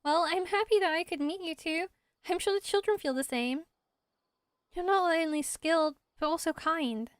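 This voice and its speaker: A woman's voice: very high voice, moderate pace, no echo, clean studio sound. A woman voicing a kind, earnest young female forest patroller who is protective like a big sister, in an amateur game voice-over. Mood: warm, grateful.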